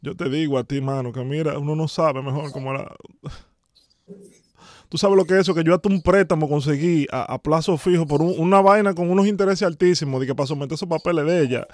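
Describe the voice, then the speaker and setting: A man with a Dominican accent on a prank phone call, talking in a plaintive, halting way and repeating his words. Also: on the high side, a little mellow, no echo, studio quality. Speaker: prank-call show host. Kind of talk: prank phone call, posing as a wronged husband. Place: indoor home studio. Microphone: close studio microphone.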